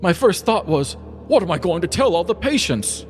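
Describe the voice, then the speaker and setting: Man acting a solemn, high-voiced wizardly rebel. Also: sing-song, normal speed, soft background music. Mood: grieved. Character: noble, defiant aging wizard-like cannabis grower.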